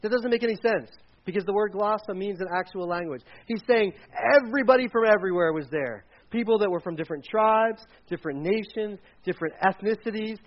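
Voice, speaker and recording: A man's voice: high-pitched, muffled recording. A man preaching a sermon with slides, talking casually, starting sentences over, and acting out the people in the scripture he retells. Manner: conversational, retells scripture with acted quotes and restarts. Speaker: pastor.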